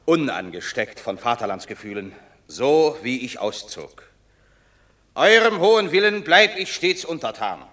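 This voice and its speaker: Coriolanus, a proud war hero. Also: male voice, medium pitch, bright, forceful tone. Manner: measured, stately verse delivery. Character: proud returning war hero, stern and defiant. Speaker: actor in radio play. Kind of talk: classical verse drama, radio play.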